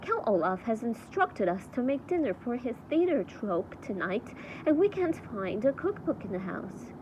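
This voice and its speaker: A woman's voice: a little high, big pitch swings, normal speed, muffled recording, background noise. A woman voicing a bookish, polite young orphan boy in a children's storybook. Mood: hesitant, polite.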